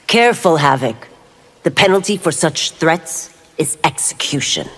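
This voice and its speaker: A woman's voice: low voice, lively intonation, measured pace, slightly rough, heavily acted. A woman playing a strict female constable who speaks in a stern, clipped way.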